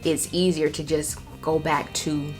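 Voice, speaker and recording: A woman's voice: low-pitched, little pitch movement, a little slow, a little mellow, soft background music. An American woman talking candidly and reflectively in a casual personal vlog, repeating herself for emphasis. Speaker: vlogger.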